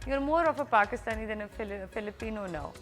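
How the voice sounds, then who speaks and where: A woman hosting a TV interview show, upbeat and emphatic, asking quick follow-up questions. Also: a little high, music in the background. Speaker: talk show host.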